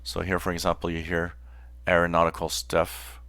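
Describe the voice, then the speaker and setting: A man hosting a hobby channel, explaining informally in a halting way with pauses mid-phrase. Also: low-pitched, completely flat delivery, bright, forceful tone, no room sound. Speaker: host of a shortwave radio channel.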